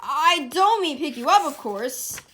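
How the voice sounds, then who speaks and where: A cocky young male hedgehog from a cartoon storybook, acted out by a woman. He boasts, then stammers shyly. The voice sits fairly high and moves at an unhurried, slow pace. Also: expressive, pushed and bright, full character voice. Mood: nervous.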